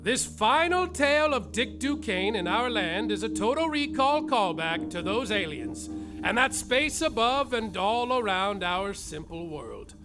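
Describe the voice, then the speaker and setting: Grandiose detective narrator, florid and slow, played by a man, live stage with music under him. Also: sits high, big pitch swings. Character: grandiose adult male detective narrator, smooth and stoned.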